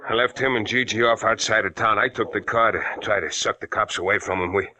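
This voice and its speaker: An actor playing a sullen, sneering small-time crook with a husky voice, in a 1940s radio drama. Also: male voice, lowish voice, brisk, a crowd in the background, natural voice. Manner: sullen, sneering tough-guy talk.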